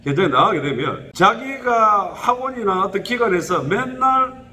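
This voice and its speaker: A middle-aged man speaking with a regional Korean dialect, giving casual spoken welding instruction in a rambling, animated way full of fillers. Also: moderate pace, big-room sound. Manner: animated, rambling, with frequent fillers and pitch jumps.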